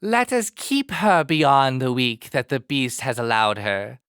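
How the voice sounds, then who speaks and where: A man's voice: mid-range voice, animated, unhurried, a bit bright, clear, resonant tone, no echo, clean studio sound. A man voicing a jealous, scheming woman in a storybook, with a conspiratorial manner. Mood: scheming, spiteful. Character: two jealous, scheming sisters of Beauty. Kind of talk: audio storybook character acting.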